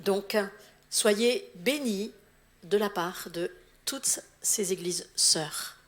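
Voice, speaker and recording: A woman's voice: mid-range voice, drawn-out words, a bit bright. A middle-aged woman from Alsace, a visiting church official, preaching a sermon in a chatty way and laughing at her own slips. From Alsace, eastern France. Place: church hall.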